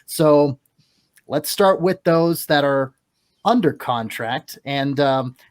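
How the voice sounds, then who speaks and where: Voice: medium pitch, slow pace. A co-host running a segment of a hockey podcast, organized and jokey. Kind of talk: casual hockey podcast chat.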